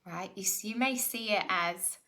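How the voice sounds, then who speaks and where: A middle-aged woman runs a live self-development session online. Her voice is bright and forward. She speaks conversationally and keeps pulling listeners in with rhetorical questions and quick check-ins. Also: mid-range voice. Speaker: spiritual life coach. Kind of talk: live online workshop talk.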